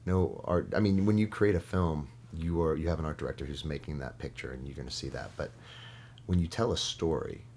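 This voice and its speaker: A man's voice: very deep voice, a little fast, darkish tone. A man, a guest performer, chatting casually and explaining his craft in a studio interview with noticeable background noise.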